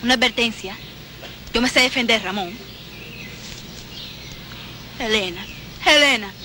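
A woman's voice: a little high, unhurried, pushed and bright. An actress playing a sassy, defiant young woman with a Cuban accent, sarcastic and teasing, in film dialogue. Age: young adult. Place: outdoors in a wooded park. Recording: old film, noisy outdoor birdsong ambience.

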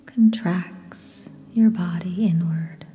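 A woman with a soft, breathy, whispery voice leads a guided meditation in short, gentle phrases with long pauses. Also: fairly low pitch, highs cut off. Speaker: yoga nidra guide. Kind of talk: guided meditation, slow and soothing. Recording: long reverb, soft music behind. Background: sound bath music.

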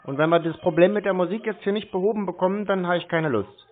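A man chatting casually on a phone call, stubborn, deadpan and slow to cooperate. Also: high voice. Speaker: prank call host, scambaiter. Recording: thin, narrow telephone line.

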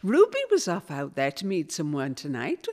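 Middle-aged Northern mum, nosy and grumbling but kind, low pitch, very animated. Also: female voice, moderate pace, heavily acted. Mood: friendly, curious. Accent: Northern English. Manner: gossipy, grumbling, uses endearments. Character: chatty, nosy, warm-hearted Northern English mum. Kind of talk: audio play performed on stage. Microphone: stand microphone.

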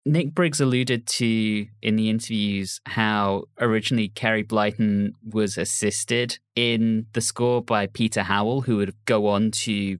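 A man with a lowish voice hosts a podcast discussion about audio drama. The sound is close and dry, with very little room around his voice. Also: steady tone, measured pace, hardly any pauses, smooth, dry recording. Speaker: podcast host.

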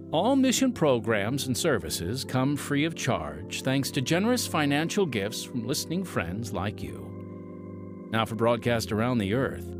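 Guy narrating a radio drama, lively pitch swings, music underneath. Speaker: announcer and narrator.

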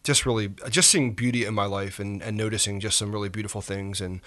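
A pastor in his forties, a man telling his story softly in a reflective interview, pausing often with fillers. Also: lowish voice, steady tone. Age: 40s. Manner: soft-spoken, halting with frequent fillers. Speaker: pastor and podcast guest.

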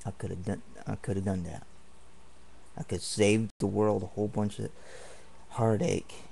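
A man's voice: lowish voice, level tone, normal speed, vocal fry, mellow, slight background noise. A man ranting indignantly and at length in a home video, repeating himself as he rambles. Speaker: vlogger. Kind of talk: angry home video rant. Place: home apartment.

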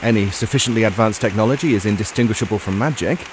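A man's voice: lowish voice, fast talker. A man co-hosting an audio drama show with scripted banter and sign-off. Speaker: podcast co-host. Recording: clear hiss and outdoor-like noise.

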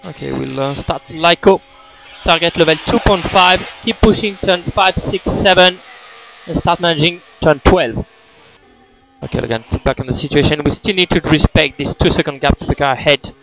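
Over a team radio with some vehicle noise comes a male race engineer with a slightly high voice. He speaks in terse, clipped instructions. He repeats key calls in a procedural way. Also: normal speed, creaky, a bit bright. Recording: noisy motorsport team radio.

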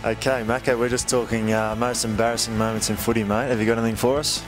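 A young Australian host with a handheld mic chats with teammates in the change rooms. He talks fast and stays friendly, with medium pitch. Music plays steadily underneath. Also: flat delivery. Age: young adult. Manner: quick, matey questions. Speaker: club video host. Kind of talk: casual locker-room interviews. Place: club gym and change rooms indoors.

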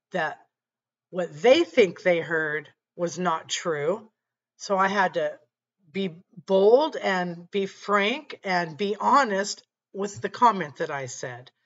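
A middle-aged woman with a slightly raspy voice, telling a personal faith story earnestly to her webcam at home. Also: lowish voice, fairly bright tone, lo-fi sound. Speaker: channel host. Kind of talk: faith-based personal talk to camera. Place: small room at home, indoors.

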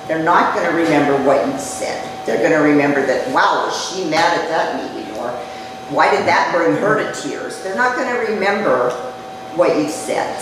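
An older woman giving a chatty public talk to a live audience, her voice dropping low as she speaks. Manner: conversational, chatty, jokes with the audience. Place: community event room. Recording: echoey hall, mic far off, noisy.

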